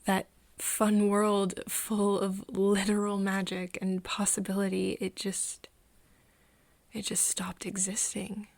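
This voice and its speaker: A woman acting an earnest, wistful friend in an intimate ASMR roleplay, speaking softly and haltingly, often near a whisper. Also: mid-range voice, monotone, dry recording. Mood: wistful, sad. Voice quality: soft, breathy, often whispery. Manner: hesitant, halting, pausing often.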